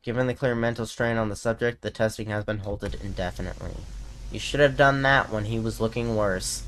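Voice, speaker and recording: A man's voice: on the low side, a little fast. A man giving informal, slangy reaction commentary as a video host, sometimes reading text aloud. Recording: noticeable background noise.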